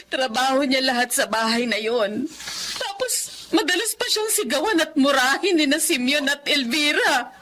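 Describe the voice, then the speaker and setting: A woman's voice: sits high, very animated, normal speed, bright, forceful tone, heavily acted. A woman playing a worried, loving middle-aged mother, plaintive and anxious, in a Filipino radio drama. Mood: distressed, tearful.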